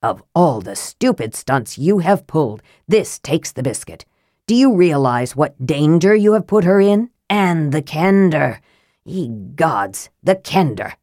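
A woman voicing a sickly young male mage who speaks coldly and scornfully through a rasping throat. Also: big pitch swings, measured pace, no echo, studio quality. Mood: accusing. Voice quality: rasping, low. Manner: scornful, sharp. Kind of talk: audiobook character voice.

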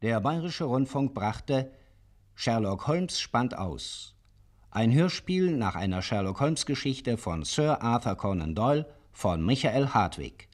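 A man's voice: medium pitch, sing-song, unhurried. A man working as a radio announcer, formally reading out scripted credits.

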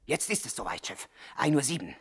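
An actor in a 1960s German radio play, playing a grumbling gangster underling with a raspy voice who reports to his boss. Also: mid-range voice, sing-song, fairly quick, a bit bright, full character voice. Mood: tense, watchful. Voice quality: raspy, gravelly.

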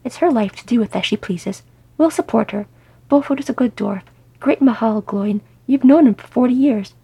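Woman as a firm, husky dwarf matriarch. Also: mid-range voice, steady tone, brisk, mellow. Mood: scolding. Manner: scolding, emphatic. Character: firm, sensible, affectionate older dwarf wife.

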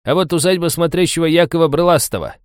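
Man voicing a chatty cabbie, pitched up. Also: quick pace, dry recording, studio quality, close to an everyday voice. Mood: wry. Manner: colloquial, wry, clowning. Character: chatty, sardonic male taxi driver, a streetwise local guide.

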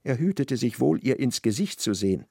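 A man narrating a literary radio play in a measured, precise storytelling style. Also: medium pitch, very animated, vocal fry, darkish tone, slightly rough, no room sound, clean studio sound.

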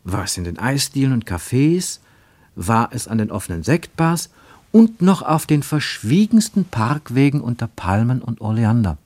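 A man with pronunciation reading literary prose for radio, measured and deliberate, with emphatic phrasing and pauses. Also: mid-range voice, sing-song, darkish tone, dry recording. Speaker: narrator, reader of literary prose. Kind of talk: scripted literary narration for German radio.

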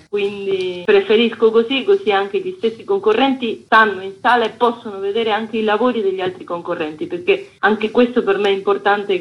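A woman's voice: medium pitch, highs cut off. A woman who organises theatre events, explaining things as a guest in a radio interview.

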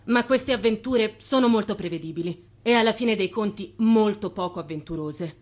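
A mid-pitched woman acting as a hospital nurse in a radio drama. She is practical and devoted, with a reserved, dry way of speaking that allows no sentiment. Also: normal speed, a little mellow, close to an everyday voice. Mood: dry, guarded.

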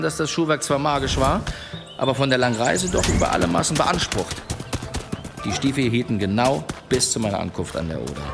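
A man in a German radio play acting a self-assured adventurer who tells tall tales in florid, measured storytelling. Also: mid-range voice, vocal fry, lightly acted.

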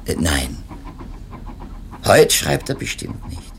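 A conscientious publishing editor in a 1970s radio play. His voice is lowish and very animated, wordy and earnest, a little hesitant, with faint noise in the old recording. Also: slow pace, vocal fry, full character voice. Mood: rueful, reflective. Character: conscientious male publishing editor, talkative, evasive, self-reproachful. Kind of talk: 1970s East German radio drama acting.

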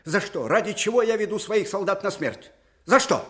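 A man's voice: sits high, lively intonation, normal speed, fairly bright tone. An older man playing an ageing, fatherly Russian field marshal with old-fashioned folksy speech in a theatrical radio drama. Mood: agitated, bitter. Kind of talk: Soviet radio drama acting.

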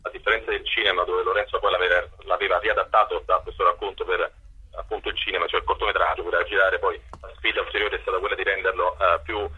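A husky-voiced man answering conversationally in a radio interview, digressing and correcting himself, on a slightly lo-fi line. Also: sits low, little pitch movement, fast. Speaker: actor, interview guest.

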